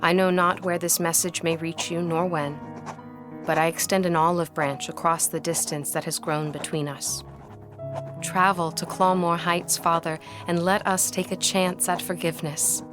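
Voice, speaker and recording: Gothic audio drama narrator: reflective young woman played by an actress, low register, formal literary delivery, score behind. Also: little pitch movement, normal speed, over music, natural voice. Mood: tender, reflective.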